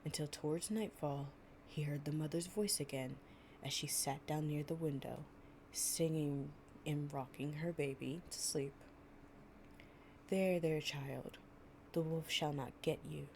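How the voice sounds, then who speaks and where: A woman's voice: low-pitched, a little slow, a little mellow, slight background noise. A young woman playing an affectionate, chatty girlfriend in an improvised, intimate storytime roleplay, with a casual, slangy and playful way of talking. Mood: gentle reading. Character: affectionate, chatty girlfriend reading fables to her partner.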